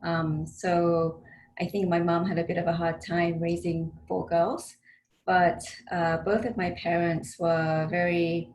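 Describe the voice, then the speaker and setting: A woman's voice: lowish voice, flat delivery, slow, darkish tone, slight background noise, poor audio. A woman from Korea with a slightly British-tinged accent, telling her story conversationally as an interview guest from her home. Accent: slightly British-tinged English. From South Korea. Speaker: in-house counsel. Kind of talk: interview guest on a video call. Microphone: video call.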